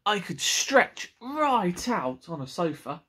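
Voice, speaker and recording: A man's voice: high voice, very animated, takes it slowly, a bit bright, slightly rough, pitched above the performer's own voice, heavily acted. A man voicing a reluctant, complaining little frog full of questions, from a children's picture book. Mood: plaintive, hopeful. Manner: questioning, plaintive.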